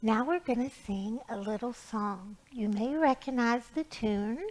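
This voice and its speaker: A woman's voice: on the high side, measured pace, a little mellow, low-quality recording. A woman hosting a storytime for young children, explaining things simply and encouragingly. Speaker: library storytime host. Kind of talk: children's storytime presentation with read-aloud and singing.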